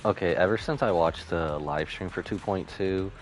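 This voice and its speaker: A man's voice: sits low, normal speed, faint music behind. A man doing casual, playful commentary as he streams a video game, often exclaiming. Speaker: gaming streamer. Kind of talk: casual playthrough commentary, reading game dialogue aloud.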